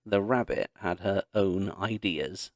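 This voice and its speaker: Male children's audiobook narrator, low and slow, expressive and dramatic. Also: no room sound, clean studio sound. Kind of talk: expressive children's story read-aloud.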